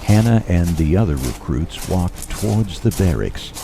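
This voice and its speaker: A solemn storyteller played by a man narrates an audio drama in a very deep, animated voice. Music plays clearly behind him. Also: unhurried, exaggerated voice. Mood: dramatic.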